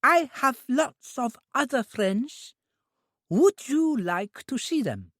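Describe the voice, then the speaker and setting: Childlike young woman, very high, slow sing-song, eager and clinging, dry close sound. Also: lively intonation, a bit bright, no room sound, heavily acted. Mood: eager pleading.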